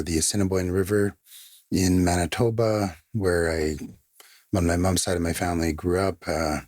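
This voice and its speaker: Man, podcast guest, very low voice, thoughtful, dry close sound. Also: a little mellow, dry recording. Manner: thoughtful, plays devil's advocate. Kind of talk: podcast interview.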